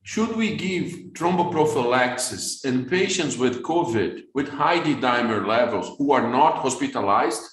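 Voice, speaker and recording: A man's voice: mid-range voice, lively intonation. Brazilian man lecturing in an echoey room. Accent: Brazilian Portuguese. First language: Portuguese. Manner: fast, repeats words when restarting. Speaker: vascular surgeon lecturer. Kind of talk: webinar lecture.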